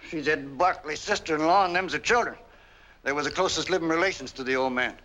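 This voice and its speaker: An actor playing an older, folksy and defensive family servant in a 1940s film, with rustic, ungrammatical speech. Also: male voice, on the high side, fast talker, pushed and bright, low-quality recording. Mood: reflective. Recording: vintage 1940s film soundtrack.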